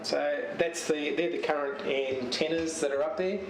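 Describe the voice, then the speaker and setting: A roomy, noisy recording picks up a man some distance from the mic. His voice sits a little high for a man. He talks casually and off the cuff, pausing to find his words like a hobbyist showing his gear. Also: fairly even intonation. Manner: halting, searching for words as he handles gear. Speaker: amateur radio and TV hobbyist. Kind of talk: casual unscripted show-and-tell of a home radio setup. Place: home radio shack.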